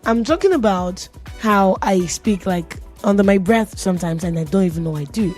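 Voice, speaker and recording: A woman's voice: mid-range voice, lively intonation, moderate pace, darkish tone, faint music behind. A woman with a Nigerian accent hosting a radio show, talking in a chatty, playful way and often laughing. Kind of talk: casual radio tech talk. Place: radio studio.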